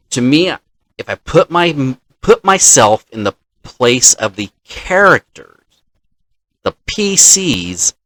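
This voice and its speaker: A man's voice: medium pitch, fairly bright tone, dry recording, poor audio. A man hosting as a dungeon master, telling the story of a game session in an explanatory way and acting out players' lines.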